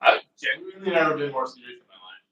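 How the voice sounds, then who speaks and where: Deadpan man, roomy and off-mic. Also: on the high side, fast talker, distant mic, muffled recording. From Ontario, Canada. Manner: deadpan, witty one-liners. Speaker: podcast guest. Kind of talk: casual live-stream chat.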